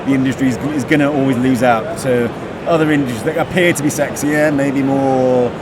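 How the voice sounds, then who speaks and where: A man interviewing a business guest at a trade show. He talks in a quick, chatty way and often restarts his questions partway through. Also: medium pitch, fairly even intonation. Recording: loud background noise.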